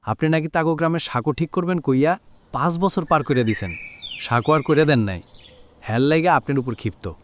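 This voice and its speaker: A man's voice: a little high, brisk, fairly bright tone, slight background noise, lightly acted. A man with a rural Bangladeshi accent voicing a village politician's obliging hanger-on in a cartoon, deferential and colloquial. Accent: rural Bangladeshi Bengali. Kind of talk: cartoon voice acting.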